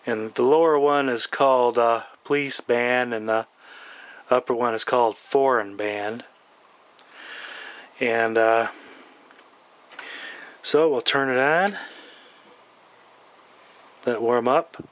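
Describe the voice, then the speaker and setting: A man's voice: medium pitch, fairly even intonation, slow pace, pauses often, clean tone. A middle-aged American man casually showing off an old radio, speaking unscripted. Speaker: radio owner demonstrating a vintage set. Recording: dull lo-fi home camera, loud radio hum and static. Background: old radio humming and playing.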